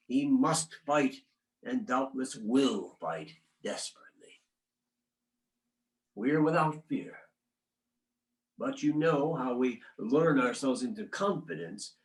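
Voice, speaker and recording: A man reading as a 19th-century statesman writing solemn letters to his wife, in a formal, measured and occasionally halting delivery. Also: medium pitch. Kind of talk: dramatic reading of historical letters. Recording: echoey, roomy sound.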